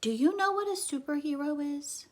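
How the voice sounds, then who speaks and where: A woman's voice: high voice, expressive, a little fast, pitched above the performer's own voice. A woman voicing an earnest, cynical young girl who loves comics, explaining things emphatically in a storybook reading. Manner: emphatic, instructive.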